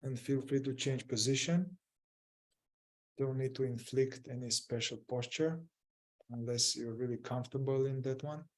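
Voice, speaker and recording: A man leading a guided mindfulness meditation as a teacher, gently and without hurry, leaving long pauses between short phrases. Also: mid-range voice, steady tone.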